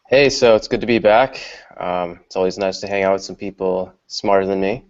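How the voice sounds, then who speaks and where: A man speaking as a guest on an online panel, measured and hesitant with many fillers. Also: low-pitched. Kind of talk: casual panel discussion. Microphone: video call. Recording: slightly muffled video-call audio.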